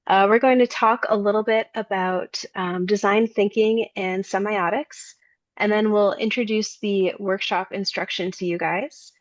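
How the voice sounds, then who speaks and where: A woman's voice: mid-range voice, steady tone, a little slow, no echo. A woman in her thirties who grew up in Maine, presenting a design workshop over a video call with frequent ums and uhs. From Maine, United States. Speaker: design lecturer and workshop facilitator. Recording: dull, dry video call audio.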